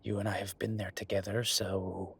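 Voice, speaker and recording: Man acting husky, low-voiced affectionate werewolf bureaucrat. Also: little pitch movement, normal speed, fairly bright tone, heavily acted. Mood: wry, confiding. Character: Viktor, affectionate teasing male werewolf bureaucrat, weary but playful. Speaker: voice actor in audio roleplay. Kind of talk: intimate romantic audio roleplay.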